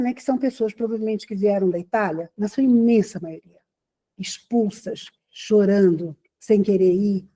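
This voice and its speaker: Woman, husky voice, very dark tone, lecturing, conversational, asks rhetorical questions. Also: medium pitch. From Rio de Janeiro, Brazil. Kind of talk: lecture to an audience.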